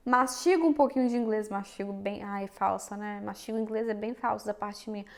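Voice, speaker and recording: A woman's voice: medium pitch, fairly quick, gravelly. A young Brazilian woman with a Minas Gerais accent, chatting frankly and directly to her viewers in a casual vlog. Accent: Minas Gerais Portuguese. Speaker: vlogger. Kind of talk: casual chat to camera.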